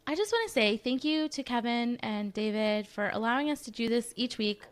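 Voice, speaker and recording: Steady-reading woman co-host. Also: fairly high pitch, brisk. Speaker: co-host of a missing persons segment. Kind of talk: casual live show chat.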